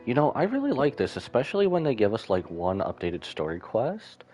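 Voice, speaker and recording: A man's voice: medium pitch, wildly swooping pitch, a little fast, darkish tone, faint music behind. A man doing casual live commentary on a game, sentimental and musing aloud to himself as he reacts. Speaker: game streamer.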